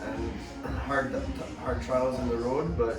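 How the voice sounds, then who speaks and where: A man's voice: medium pitch, far from the mic, loud music behind the voice. Man in an echoey gym. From Quebec. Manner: relaxed and teasing, with many "uh" pauses. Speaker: guest, gym owner. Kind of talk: casual interview. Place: office in a gym. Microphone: no microphones, voice picked up from a distance. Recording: echoey room, voice picked up from afar.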